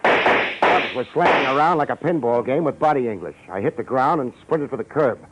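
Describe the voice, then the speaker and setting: A man's voice: medium pitch, lively intonation, normal speed, a bit bright, a little hiss or hum, close to an everyday voice. An American man acting a hard-boiled, wry private detective in a 1950s radio drama, narrating in slangy style. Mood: wry narration. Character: wisecracking hard-boiled male private detective, cool under threat. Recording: dull old radio recording, music behind.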